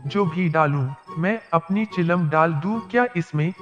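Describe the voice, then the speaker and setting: A greedy, jealous village chief who orders people around, played by a man in a cartoon story. The voice sits a little high and the recording sounds slightly dull and lo-fi, with music under it. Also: unhurried, a bit bright. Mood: scheming. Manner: bossy and scornful. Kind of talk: cartoon voice acting.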